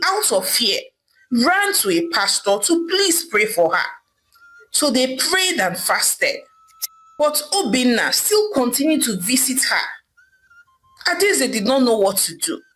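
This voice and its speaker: A woman's voice: on the high side, a bit bright, poor audio. A woman storyteller narrating a folktale dramatically, repeating words for emphasis.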